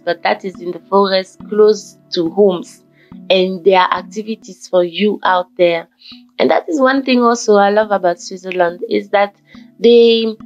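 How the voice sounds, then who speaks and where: A woman from Ghana talking casually in a motivational vlog, with a croaky just-woken voice and a rambling manner that repeats and corrects itself. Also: medium pitch. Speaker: vlogger. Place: forest fitness trail outdoors. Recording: dull lo-fi audio with faint background music.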